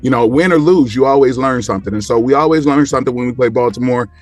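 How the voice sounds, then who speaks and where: A man's voice: medium pitch, fast talker, no echo, faint music behind. A man in casual sports talk as a panel guest, rambling along and repeating phrases for emphasis.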